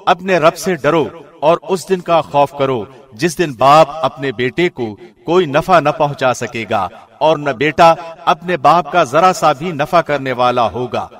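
A professional male narrator reading a scripture translation in a measured, solemn, formal studio style. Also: fairly high pitch, fairly bright tone. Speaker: translation reader. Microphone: studio mic.

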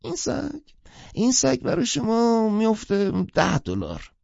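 Very creaky old street peddler. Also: male voice, high voice, wildly swooping pitch, a little mellow, no room sound, muffled recording, pitched above the performer's own voice, exaggerated voice. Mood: salesman's wheedling. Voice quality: creaky, cracked voice.

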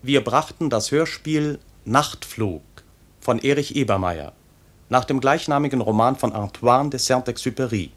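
A man announcing radio drama credits in a formal, deliberate 1950s broadcast style, pausing between names. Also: medium pitch.

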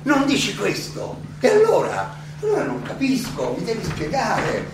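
Stage role: elderly ironic philosopher defending himself in court, rhetorical and insistent; very reverberant, distant, noisy live theatre recording. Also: male voice, extremely high pitch, wildly swooping pitch, gravelly, exaggerated voice. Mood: insistent, challenging. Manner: rhetorical, halting then insistent, questioning. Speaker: stage actor.

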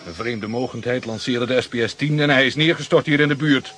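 An actor playing a serious scientist in a crisis in a children's radio play. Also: male voice, medium pitch, a little fast, fairly bright tone, slight background noise, natural voice.

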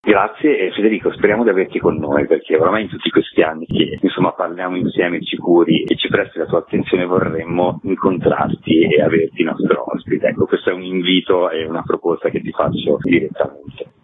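A man's voice: deep voice, steady tone, fairly quick, hardly any pauses, slight background noise. A man explaining things at length in a radio interview as an event organiser, leaning on a repeated filler word. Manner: wordy, repeats filler "appunto". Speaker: theater festival organiser being interviewed. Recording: muffled narrow phone line on radio.

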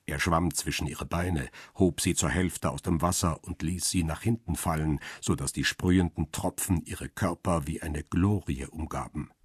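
A man playing a cool, cynical paparazzo in a crime radio drama, speaking in a measured, deliberate and guarded way. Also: low voice, husky, dry recording, clean studio sound. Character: male paparazzo photographer, cool, cynical, guarded first-person narrator. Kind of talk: first-person crime radio drama narration and dialogue.

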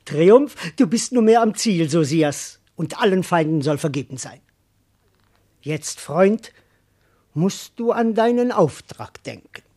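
Boastful cowardly servant, theatrical, declamatory, self-important, classical verse comedy, radio play, muttering and proclaiming. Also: male voice, high voice, animated, takes it slowly, warm tone, exaggerated voice. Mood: grumbling, relieved.

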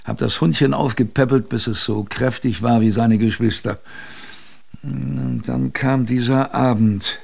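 A man playing an older adventurer who recalls his youth in a creaky voice, with wistful, conversational radio drama narration. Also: medium pitch, steady tone, unhurried, a little mellow, full character voice. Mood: reflective. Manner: conversational, wistful, reflective storytelling.

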